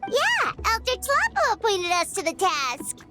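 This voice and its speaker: A woman voicing a tiny, chirpy, childlike fairy companion in a video game, with a squeaky, bubbly delivery. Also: huge pitch swings, moderate pace, loud music behind the voice, heavily acted. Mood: friendly, curious. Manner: bubbly, exclamatory.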